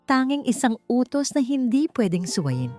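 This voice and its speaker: A cold, commanding patriarch of a rich family, played by a woman storyteller, in an audiobook drama. The delivery is curt and scolding, pitched up with authority, over clear background music. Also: big pitch swings, normal speed, darkish tone. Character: stern, wealthy father, cold commanding patriarch.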